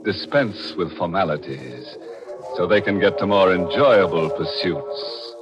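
Casting: macabre old-time radio horror host, low-pitched, unhurried, mock-genial and theatrical. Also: male voice, animated, muffled recording. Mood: macabre, teasing. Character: ghoulish, tongue-in-cheek male horror show host. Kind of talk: 1940s radio mystery acting. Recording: old radio broadcast, music behind.

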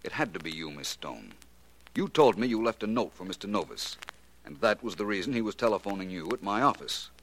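An actor playing a shrewd, self-assured private detective in a theatrical, deliberate 1940s radio drama style. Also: male voice, lowish voice, lively intonation, fairly bright tone. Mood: explaining calmly. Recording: noisy old 1940s radio recording.

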